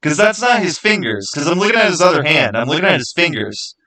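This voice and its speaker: A man hosting a livestream, chatting casually with viewers in a halting way, often repeating words and phrases as he goes. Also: a little high, big pitch swings, bright, forceful tone. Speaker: livestream host.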